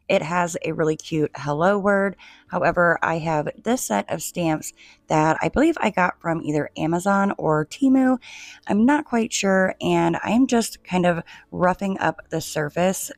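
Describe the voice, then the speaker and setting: Low-voiced woman narrating a craft tutorial. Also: no room sound. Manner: chatty, addresses viewers as "you guys". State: coming down with a cold, losing her voice. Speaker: DIY home decor creator.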